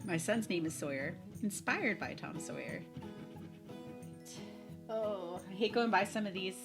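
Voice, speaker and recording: A woman's voice: medium pitch, expressive, unhurried. Woman presenting casually, music behind. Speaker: school librarian hosting a virtual library show. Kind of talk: scripted-casual presenting to camera for students.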